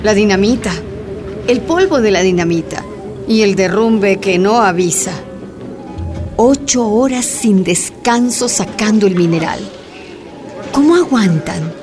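Una mujer con acento boliviano narra con gravedad una radionovela sobre la lucha minera. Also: female voice, mid-range voice, natural voice. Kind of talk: scripted narration of a radio drama.

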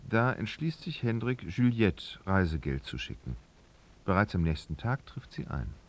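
A man's voice: sits low, very animated, normal speed. A man narrating a literary radio drama, reading expressively and dramatically.

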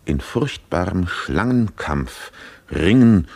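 An actor playing a grave, penetrating physician who speaks in measured, oratorical phrases in a radio drama. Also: male voice, deep voice, animated, creaky, dark tone, heavily acted. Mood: solemn, portentous. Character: solemn, penetrating physician who reads faces. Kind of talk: theatrical radio drama acting.

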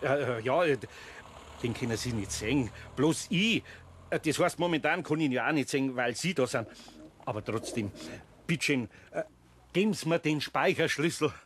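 A man's voice: medium pitch, expressive, moderate pace, a bit bright, a little hiss or hum, lightly acted. An actor playing a worried, good-hearted older craftsman with a Bavarian accent, grumbling and flustered in a radio play. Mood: flustered pleading. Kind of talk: German children's radio play acting.

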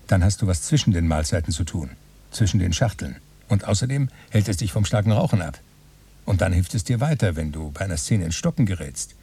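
An obsessive, fussy writer in a radio play, reasoning with himself. The voice is low, dark and creaky, slightly away from the microphone. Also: male voice, expressive, brisk, distant mic, exaggerated voice. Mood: fussy, brooding. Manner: self-questioning, fussy, methodical. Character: obsessive, muttering male writer calculating his supplies. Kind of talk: German radio play interior monologue.

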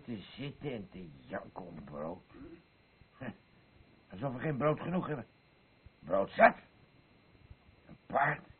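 A man acting a boisterous, rambling alcoholic husband in a Dutch radio play, halting and swinging between elation and weariness. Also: medium pitch, husky, narrow, tinny audio, exaggerated voice.

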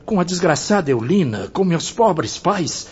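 A man's voice: fairly high pitch, sing-song, measured pace. An actor with a Brazilian accent playing the anguished, guilt-ridden spirit of a man in a radio drama. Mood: anguished remorse. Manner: anguished, confessional, melodramatic. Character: tormented spirit of a guilty man who killed himself. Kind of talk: radio drama acting in Portuguese. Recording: dull audio under loud music.

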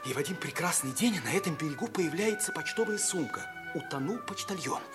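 An actor playing an earnest, impassioned schoolboy hero, speaking with fervent dramatic pauses in a 1950s radio drama. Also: male voice, fairly high pitch, expressive, over music, natural voice. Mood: fervent storytelling. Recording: vintage 1950s radio play recording.

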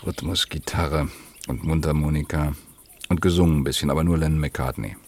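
An actor playing a wry, self-deprecating man in his thirties in a naturalistic radio play, with a gravelly voice and laconic manner. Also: rumbling low, fairly even intonation, brisk, full character voice. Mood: wry, reminiscing. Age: 30s.

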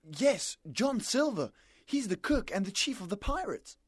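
A man's voice: high voice, very animated, measured pace, breathy edge, no echo, studio quality, pitched above the performer's own voice, heavily acted. A man doing an earnest young boy.

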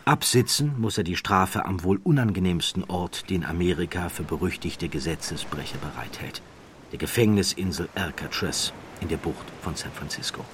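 A man narrating an audiobook story from a script in a measured, emphatic way, with a husky voice and deliberate pauses. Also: low-pitched, noisy background. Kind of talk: scripted dramatic narration of a true crime story.

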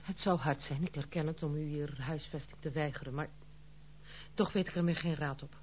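An actress playing a dutiful, stern but kind granddaughter in an old Dutch radio play, speaking formally and gravely. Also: female voice, low voice, fairly even intonation, a little fast, lightly acted. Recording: muffled phone-like old radio recording, faint hum.

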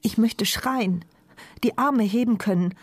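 A woman's voice: a little high, unhurried, a little hiss or hum. An actress playing a mute, paralysed woman who narrates her inner thoughts in an intimate, urgent voice in a radio drama. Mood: desperate. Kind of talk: first-person radio drama monologue.

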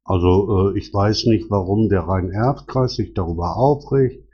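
A man's voice: on the low side, unhurried, slightly rough. A man in his sixties taking part in an argument over an online voice chat. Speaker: local political party member. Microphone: online voice chat recording. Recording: dull, muffled voice-chat audio.

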